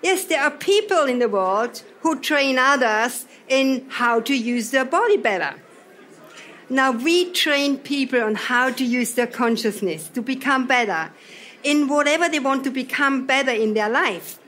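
A woman's voice: high voice, expressive, unhurried, a bit bright, a little hiss or hum. Austrian woman leading a workshop talk. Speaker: seminar trainer. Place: event hall.

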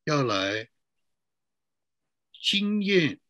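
A man's voice: fairly high pitch, big pitch swings, drawn-out words, a bit bright, no echo. A middle-aged man pastor giving a sermon to an online church, posing rhetorical questions and drawing out emphatic phrases.

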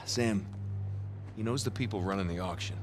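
A man's voice: fairly low pitch, normal speed, background noise, natural voice. An actor with an American accent playing a confident, loyal adventurer in his thirties in a game cutscene, casual, reassuring and earnest. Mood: earnest, insistent. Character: confident, loyal adventurer man planning a heist. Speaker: video game character. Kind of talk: naturalistic game cutscene dialogue.

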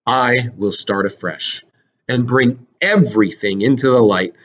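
A man's voice: medium pitch, a little slow, fairly bright tone, dull audio, voice pushed down. A resolute king from a stage tragedy, read by a man, declaiming and probing. He questions firmly and speaks with formal weight.

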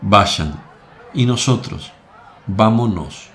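A man voicing a grave, calm teacher figure in a radio play. His voice is fairly low and unhurried, and every phrase comes out solemn and deliberate. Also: slight background noise, exaggerated voice. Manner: slow, solemn and measured. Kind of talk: radio drama acting.

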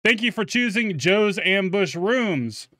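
A man's voice: high voice, lively intonation, slow, pushed and bright, no echo, clean studio sound, voice pushed up, full character voice. Man reading as a promotional, formal salesman. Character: polished salesman of shady business services.

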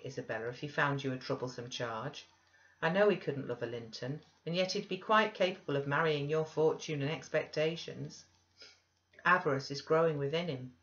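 Imperious young wife, voiced by a woman narrator, sharp-tongued, emphatic, period storybook, dull recording. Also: low voice. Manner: emphatic, scornful. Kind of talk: dramatized audiobook reading.

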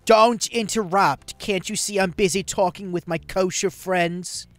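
A woman's voice: medium pitch, fairly even intonation, a little slow, dry recording. A woman hosting a gaming channel, playfully dubbing a visual novel with profane, exclaiming commentary. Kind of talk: comic dubbing and casual chat over a visual novel.

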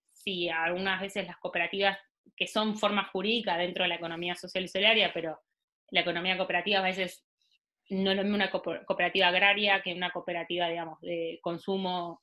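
A woman's voice: medium pitch, bright, forceful tone. A woman in her thirties with an Argentine accent, leading an online workshop over a video call, thinking aloud with many fillers and restarts. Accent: Argentine Spanish. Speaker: workshop facilitator. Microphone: laptop mic on video call.

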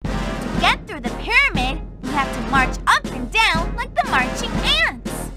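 A woman's voice: extremely high pitch, big pitch swings, measured pace, over loud music, exaggerated voice. A cheery girl explorer. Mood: encouraging. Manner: upbeat, encouraging, instructive. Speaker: game guide addressing the player.

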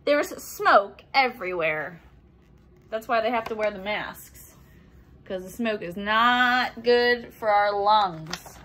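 A young adult woman reading a children's picture book aloud expressively, acting out sound effects and adding simple explanations. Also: high-pitched. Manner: animated, acts out sound effects and exclamations.

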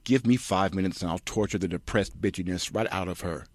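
A man's voice: sits low, fairly quick, dry recording. Man playing a blunt, fed-up husband, dry sound. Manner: blunt, sarcastic. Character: gruff, fed-up tough-guy husband.

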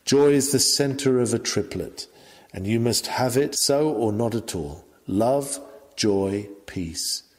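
Male sermon reader, mid-pitched, measured and emphatic oratory, hall-like reverb. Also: expressive. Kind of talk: sermon reading, scripted oratory.

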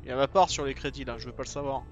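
A man in casual gameplay chat, teasing his partner in a middle-pitched voice. Faint music runs in the background. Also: fairly even intonation, racing, lo-fi sound. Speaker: gamer, co-host of a gaming channel.

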